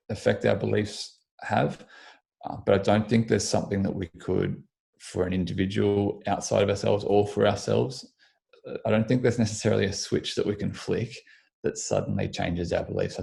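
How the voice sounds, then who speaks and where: Deep, gravelly Australian man, croaky morning. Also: mellow. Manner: measured, with frequent restarts and ums. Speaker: physiotherapist guest. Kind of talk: thoughtful interview answers.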